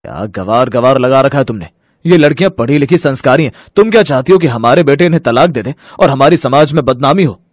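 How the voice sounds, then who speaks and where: A man's voice: medium pitch, expressive, quick pace, dry recording, clean studio sound, natural voice. An actor playing an indignant, fair-minded family man in a Hindi moral story, earnest and emphatic. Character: indignant, fair-minded father and his grown sons.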